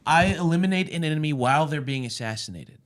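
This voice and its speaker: A man casually interviewing a guest in a relaxed, conversational style. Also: fairly high pitch, fairly quick. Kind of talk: casual quiz-style chat.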